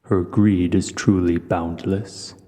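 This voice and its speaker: A huge, proud dragon, played by a man, speaks very low and dark in a long, cavernous reverb. He is measured and formal, a haughty, learned hoarder addressing his guest in a fantasy audio roleplay. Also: steady tone, faint music behind. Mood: disdainful. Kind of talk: audio roleplay, scripted monologue to the listener.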